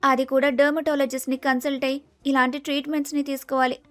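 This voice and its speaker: Woman as sensible, high-voiced young woman, lecturing. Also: level tone, quick pace, no room sound, soft background music, close to an everyday voice. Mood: matter-of-fact. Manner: reasoning, lecturing. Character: sensible, sceptical young bride-to-be who lectures her friend. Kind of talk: acted storybook dialogue.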